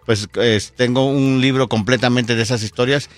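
Man, unhurried guest storyteller. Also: medium pitch, steady tone. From Guatemala. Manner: unhurried, many fillers like "este". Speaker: storyteller and event producer, guest. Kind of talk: radio interview. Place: radio studio.

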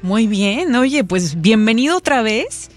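A woman's voice: on the high side, very animated, slow, faint music behind. A woman hosting a live public radio interview, chatting eagerly with interjections. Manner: warm conversational, eager interjections. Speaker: radio host. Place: radio studio. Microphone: studio microphone.

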